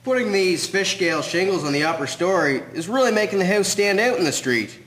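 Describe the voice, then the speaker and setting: A man acting as a friendly carpenter's helper in a historical audio drama. His voice is high for a man and moves around a lot. He comes across as chatty and observant. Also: lively intonation, a little fast, fairly bright tone, low-quality recording. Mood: friendly, concerned.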